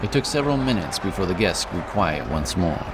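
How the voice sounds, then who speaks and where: Very deep male fantasy narrator. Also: big pitch swings, fairly quick, a loud crowd. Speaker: audiobook narrator. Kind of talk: scripted fantasy story narration. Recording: music behind the voice. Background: music.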